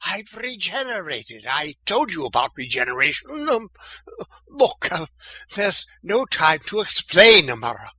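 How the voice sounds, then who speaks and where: Man acting a bright, swooping, theatrical audio-drama hero. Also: high voice, big pitch swings, unhurried, breathy edge, no echo, exaggerated voice. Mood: urgent. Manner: theatrical, exclaiming. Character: excitable, loud male robot and urgent time-travelling Doctor.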